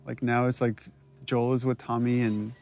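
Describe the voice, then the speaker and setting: A man's voice: medium pitch, flat delivery, normal speed, creaky, a little mellow. A man casually explaining characters and scenes from a video game as a commentator. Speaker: commentator on a game's cutscenes.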